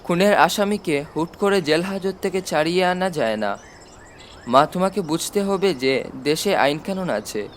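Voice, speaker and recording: An affectionate army chief as a man narrator reads him, confident and fatherly in a storybook. The voice is high for a man, explaining and reflecting, with faint noise behind it. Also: moderate pace, close to an everyday voice. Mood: thoughtful. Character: army chief uncle, affectionate, confident, older than his listener. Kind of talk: storybook reading.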